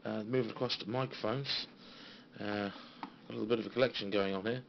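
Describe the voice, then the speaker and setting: A British man giving a casual, halting, understated tour of his hobby equipment, as an enthusiast showing viewers around. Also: on the low side, fairly even intonation, vocal fry. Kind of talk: casual informal show-and-tell. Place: small radio room at home. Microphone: handheld phone. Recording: dull phone recording with faint noise.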